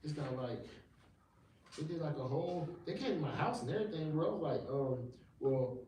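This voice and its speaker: A man's voice: a little high, animated, moderate pace, warm tone. Rambling man, echoey and off-mic. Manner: rambling, slangy, many restarts. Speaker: gospel rapper guest. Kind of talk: casual livestream interview. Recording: echoey room, distant mic, faint noise.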